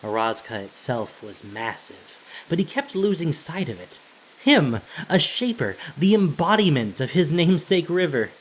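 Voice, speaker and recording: A man narrating a fantasy story as scripted audio drama, in a dramatic, deliberate storytelling manner. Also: on the high side, a little hiss or hum, rough recording. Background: music.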